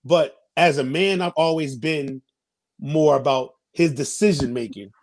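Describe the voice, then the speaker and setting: A man in his thirties with a slightly high voice talks casually as an interview guest. He gives his opinions in a halting way, restarting and repeating phrases while he works toward his point. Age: 30s.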